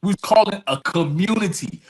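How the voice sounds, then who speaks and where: A middle-aged man with a somewhat high-pitched voice speaks as an interview guest. He tells personal stories candidly, in informal language, often repeating a phrase to drive it home. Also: brisk, poor audio. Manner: emphatic repetition of phrases, informal address.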